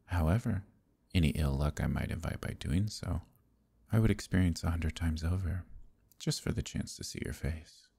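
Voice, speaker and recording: A man playing a proud, possessive fae prince in an intimate ASMR roleplay, husky and breathy, with measured, courtly speech that dips into whispers. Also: low voice, mellow, exaggerated voice. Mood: adoring. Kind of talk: ASMR roleplay, intimate archaic theatrical acting.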